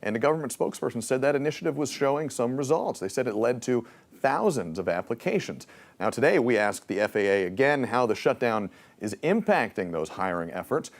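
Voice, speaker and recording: A man's voice: medium pitch, expressive, brisk. Male TV news reporter, mid-range voice. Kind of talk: live broadcast news reporting. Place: TV newsroom.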